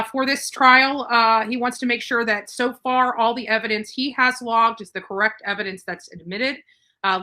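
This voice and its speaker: A woman's voice: medium pitch, pushed and bright, low-quality recording. A woman commenting on a true crime case in a casual, chatty way, with fillers and restarts.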